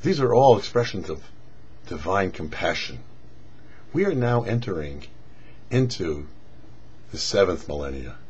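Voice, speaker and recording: A man's voice: mid-range voice, a little mellow, slightly rough. A man presenting religious commentary as a rabbi, teaching didactically in short, emphatic phrases. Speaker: rabbi presenting a weekly Torah portion show. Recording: dull lo-fi audio with faint noise.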